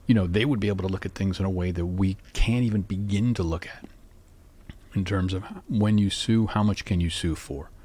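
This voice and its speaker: A man in his fifties from North Carolina, hosting a rambling solo monologue in a sleepy, calm and even voice. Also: fairly quick, slightly rough. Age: 50s. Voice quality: low, sleepy voice. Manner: calm, musing, even delivery. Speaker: podcast host.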